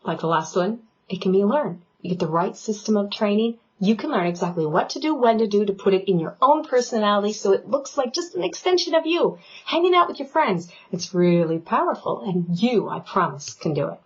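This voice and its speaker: A woman vocal coach giving an online singing lesson, talking in a loud, animated and playful way. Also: medium pitch, a little fast, slightly rough. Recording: dull lo-fi sound.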